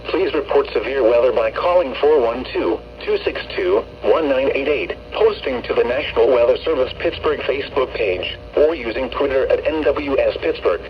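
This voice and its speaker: Synthetic male weather radio voice, muffled and noisy. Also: mid-range voice. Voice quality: synthetic text-to-speech. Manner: formal, measured, impersonal announcing. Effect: weather radio broadcast. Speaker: automated weather radio announcer. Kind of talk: scripted severe weather warning bulletin. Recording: muffled lo-fi radio with hum, noise.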